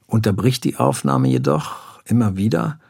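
A man's voice: medium pitch, very animated, moderate pace, gravelly, a little mellow, breathy edge. A man narrating scene directions for a radio play in a scripted style.

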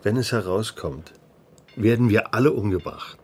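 A man's voice: on the low side, moderate pace, husky. An actor playing a frightened, cautious village headman in a radio play. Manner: anxious, cautious, hesitant.

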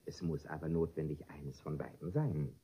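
An actor in a classic comedy radio play voicing a courteous, pedantic philosophy tutor, formal, pompous and a little hesitant. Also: male voice, a bass voice, moderate pace, dark tone, dull audio. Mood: obliging, patient.

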